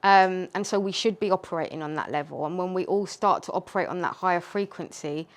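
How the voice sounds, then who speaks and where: Reflective woman poet, smooth voice. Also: fairly low pitch, a little fast. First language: Urdu. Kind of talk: casual discussion. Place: community space.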